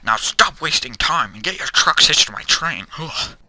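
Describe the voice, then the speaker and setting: A man's voice: fairly high pitch, expressive, normal speed, sharp tone, dry recording, heavily acted. A man playing a proud, grumpy big steam engine with a huffy manner in a fan-dubbed cartoon. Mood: irritated. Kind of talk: fan-dub cartoon acting.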